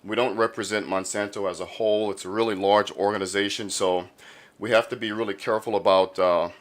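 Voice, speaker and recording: A man's voice: fairly low pitch, fairly even intonation, fairly quick. A man hosting a podcast in a casual, laid-back, informal interview style. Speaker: podcast host.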